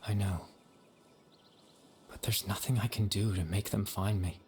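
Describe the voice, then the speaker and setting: An actor playing the gentle, regretful lingering consciousness of a dead young man in a video game, soft-spoken and measured. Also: on the low side, steady tone, a little hiss or hum. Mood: wistful, regretful.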